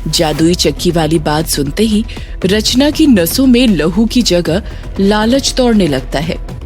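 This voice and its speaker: Female narrator for children's moral stories: unhurried scripted read, mid pitch, background music. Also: expressive, darkish tone. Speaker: storyteller. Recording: music bed under narration.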